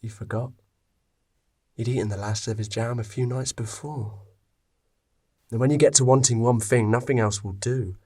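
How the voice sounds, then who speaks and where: A man narrating a scripted story expressively, with dramatic pauses. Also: medium pitch, steady tone, a little mellow, slightly rough.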